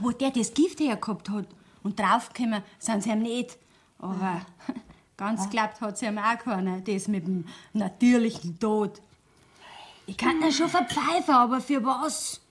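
A woman's voice: fairly high pitch, breathy edge. An actress playing a brazen, sly, gossipy working girl at an inn, cheeky and earthy in Bavarian dialect, in a radio play. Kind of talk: 1970s German radio play acting in dialect. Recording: studio radio play with faint music.

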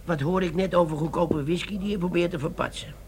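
A man's voice: sits high, steady tone, fast talker, darkish tone, a little hiss or hum. A male actor in a Dutch comic radio play, playing a cheeky, glib, scheming army sergeant. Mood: cagey.

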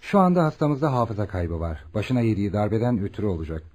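A man's voice: fairly low pitch, sing-song, fast, darkish tone. A man playing an attentive, polite hospital doctor in a radio drama, questioning and explaining in a formal, courteous way. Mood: businesslike. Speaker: actor. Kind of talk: Turkish radio theatre acting.

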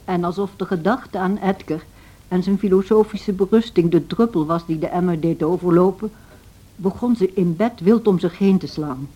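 A household servant who tells the tale in a radio play. Her voice is slightly low and soft-edged, with measured, observant storytelling. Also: husky. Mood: grave, dramatic. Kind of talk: dramatic scripted narration in radio drama.

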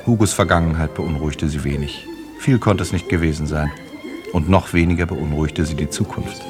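A man's voice: very deep voice, fairly quick, over music. A man reading scripted literary narration as the narrator of a radio play.

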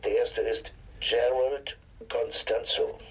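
An actor playing a plodding male colleague who dictates details haltingly and deliberately in a radio drama. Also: mid-range voice, fairly even intonation, husky, low-quality recording. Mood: plodding, dutiful. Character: plodding male colleague reporting names by phone.

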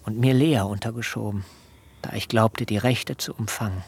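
An actor playing an ageing, righteously angry biblical herdsman in a literary radio drama, speaking in archaic, deliberate rhetoric. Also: fairly even intonation. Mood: bitter, aggrieved. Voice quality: low, gravelly.

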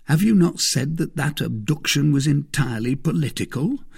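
A man's voice: a little high, animated, normal speed, vocal fry, darkish tone, husky, pitched above the performer's own voice, exaggerated voice. A man voicing a pompous, boastful merchant husband in a period novel, speaking with self-satisfied emphasis. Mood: defensive.

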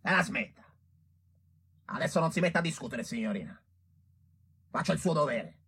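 A man's voice: on the high side, expressive, moderate pace, creaky, fairly bright tone, breathy edge, heavily acted. An actor playing a frantic, foul-mouthed, authoritarian male director of a health institute in an Italian radio drama. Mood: commanding. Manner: agitated, muttering repetition, then imperious scolding.

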